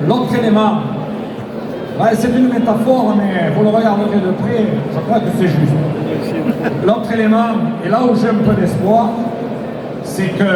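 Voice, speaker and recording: A high-voiced man speaks bluntly and colloquially from the floor of a large exhibition hall. His voice comes from a distance, with heavy reverb and a steady murmur of noise around it. He sounds like an elected official making his case off the cuff. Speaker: local elected official, vice-president of the mayors' association. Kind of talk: unscripted public speech. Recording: echoey hall, distant mic, background noise.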